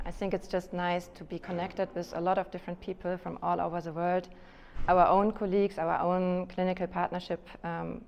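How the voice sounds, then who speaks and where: A woman's voice: fairly low pitch, darkish tone. A woman giving fluent, reflective answers about her work in an informal interview. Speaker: infection biologist. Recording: muffled sound with background noise.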